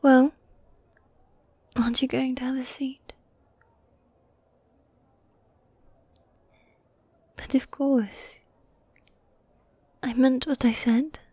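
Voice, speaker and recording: A woman playing a teasing, needy yet dominant goth demon girlfriend in a fantasy ASMR roleplay, soft and breathy, often whispering, close to the mic. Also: sits high, level tone, slow, no room sound, heavily acted. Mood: coaxing, relaxed. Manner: teasing, coy, affectionate, with sighs. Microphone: close, dry microphone.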